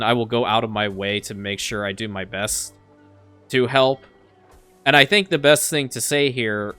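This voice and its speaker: Farsi-native guy rambling over his city builder, bright forward voice, trips on words and restarts, dry close sound. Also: on the low side, smooth, no room sound. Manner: chatty, repeats words as he restarts sentences. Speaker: let's play creator. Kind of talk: casual gameplay commentary.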